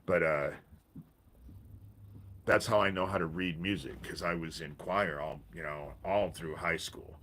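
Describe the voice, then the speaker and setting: A man hosting a casual livestream from his back porch, with a deep voice and a stuffy head cold, rambling with pauses and restarts. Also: fairly bright tone, a little hiss or hum. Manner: rambling, pauses, self-interrupting. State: congested from a cold. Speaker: livestream host.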